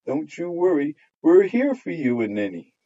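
Man putting on a shrill gossiping old neighbor woman. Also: sing-song, moderate pace. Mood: coaxing. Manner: shrill, fussing, loud. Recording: dull lo-fi audio.

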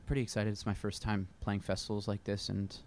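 A man from Pennsylvania, a musician guest, answering interview questions in a relaxed, halting way. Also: on the low side, a little hiss or hum. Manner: relaxed, halting, many fillers.

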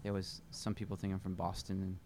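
A man from Pennsylvania, a musician guest, answering interview questions in a relaxed, halting way. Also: deep voice, slight background noise. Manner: relaxed, halting, many fillers.

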